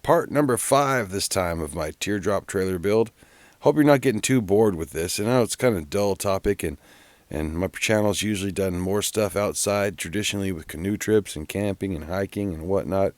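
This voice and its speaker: A man's voice: low-pitched, normal speed, no echo. A man hosting an outdoor and DIY video channel, narrating in a casual vlog style.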